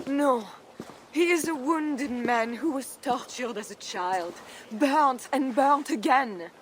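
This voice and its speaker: A woman's voice: high voice, slow pace, slight background noise. An actress playing a devout, merciful and steadfast medieval noblewoman, earnest and prayerful, in a video game. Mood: pleading, earnest. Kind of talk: dramatic video game acting.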